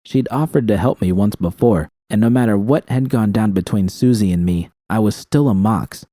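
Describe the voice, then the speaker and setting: Low, mellow male audiobook narrator. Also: normal speed, dry recording, studio quality. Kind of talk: dramatic first-person fiction narration, audiobook reading.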